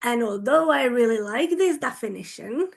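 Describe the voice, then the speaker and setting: A woman whose first language is Hungarian, giving an online conference talk about her language teaching. She speaks deliberately and often checks in with her listeners. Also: fairly high pitch, expressive, creaky.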